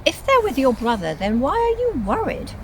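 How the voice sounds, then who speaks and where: Woman voicing a falsely polite crooked policeman, animated. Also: high voice, moderate pace, slight background noise, voice pushed up. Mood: slightly sarcastic. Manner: polite, faintly sarcastic. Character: fake policeman, smooth and falsely helpful villain.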